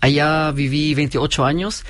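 A man in his forties with a German-Chilean accent, a company founder calmly explaining his business point by point in an interview. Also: on the high side, measured pace, no room sound. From Chile, raised in Germany. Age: 40s. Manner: steady, methodical, explains point by point.

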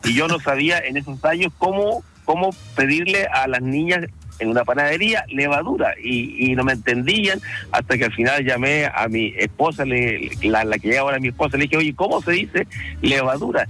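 A man with a Chilean accent, a truck driver telling his story in a relaxed interview, pausing often with fillers. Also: medium pitch, soft background music. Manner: hesitant, many fillers, storytelling. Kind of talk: casual phone-in interview.

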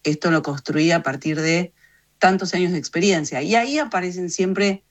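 A woman's voice: fairly low pitch, normal speed. A woman in her fifties with an Argentine Rioplatense accent, answering interview questions reflectively in an explanatory, emphatic way. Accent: Argentine Rioplatense Spanish. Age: 50s. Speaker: interview guest, journalist and communications consultant.

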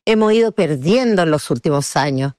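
A woman's voice: sits low, expressive, brisk, a little mellow, dry recording, studio quality. A woman with a Chilean accent, hosting an interview with questions and brief acknowledgements. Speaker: interviewer, host.

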